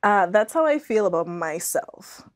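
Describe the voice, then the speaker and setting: A woman's voice: mid-range voice, lively intonation, unhurried. A young woman from the Bahamas speaking reflectively and earnestly as a guest in a conversational studio interview. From Andros, Bahamas. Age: young adult. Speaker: podcast guest, author. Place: small studio, seated indoors.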